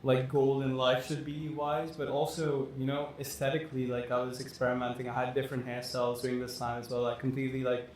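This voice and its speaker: A man's voice: mid-range voice, little pitch movement, quick pace, hardly any pauses, a little mellow. A young man who grew up in Dubai, talking casually as a guest, rambling with lots of fillers. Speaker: fashion designer guest. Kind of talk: casual interview talk. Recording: echoey room with faint noise.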